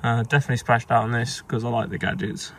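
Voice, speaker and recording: Young man, gravelly mid-pitched voice, easygoing boat-fixing vlogger, rambly and unscripted, outdoor action-cam sound. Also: fast, slight background noise. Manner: relaxed, rambling, with frequent ums and self-corrections. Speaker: sailing vlogger. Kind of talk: casual how-to narration while working. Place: on a small sailboat outdoors.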